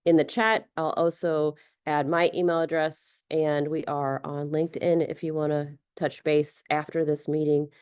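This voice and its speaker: Low-voiced woman introducing a speaker. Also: level tone, darkish tone, smooth. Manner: friendly, with filler pauses. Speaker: webinar moderator. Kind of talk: webinar introduction. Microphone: video call.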